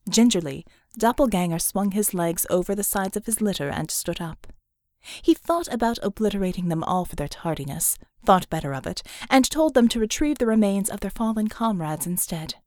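A woman reading scripted fiction as an audiobook narrator, measured and dramatic in her storytelling. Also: mid-range voice, darkish tone, no room sound, studio quality.

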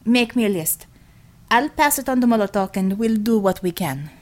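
A woman's voice: medium pitch, expressive, moderate pace, darkish tone, natural voice. Fiery Russian-accented woman commander for a story, acted by a woman reader. Blunt, clipped, emphatic. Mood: wry, resigned.

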